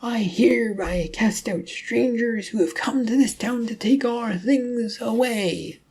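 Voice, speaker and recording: A man putting on a high-pitched, grandiose, deluded town selectman. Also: slow pace, darkish tone, slightly rough, exaggerated voice. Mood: grandiose, accusing. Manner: grandiose, dramatic proclaiming. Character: deluded, defiant male town selectman playing preacher.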